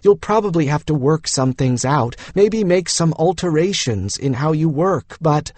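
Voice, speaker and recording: A man's voice: a little high, animated, measured pace, darkish tone, no room sound. A man voicing a frank young woman in an audiobook, coaxing and lecturing insistently. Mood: firm, coaxing. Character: frank, coaxing young woman, exasperated older-sister-style adviser. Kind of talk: audiobook character dialogue.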